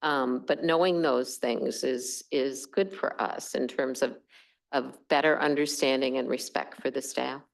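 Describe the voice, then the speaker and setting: A woman chairs a village board meeting with a brisk, upbeat manner. Her voice sits very low and has a gravelly texture throughout. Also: fairly even intonation.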